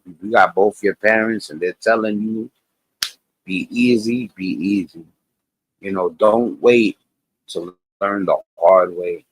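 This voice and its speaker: A man's voice: low-pitched, no echo, poor audio. A man in his late forties, hosting a channel, rambling through casual reaction commentary and preachy life advice. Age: 40s. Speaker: channel host.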